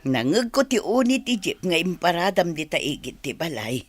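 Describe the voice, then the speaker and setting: A woman acting in a radio drama as a caring older mother, with a drawn-out, motherly delivery. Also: on the low side, big pitch swings, no echo, soft background music. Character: caring older mother, gentle, attentive to her grown son.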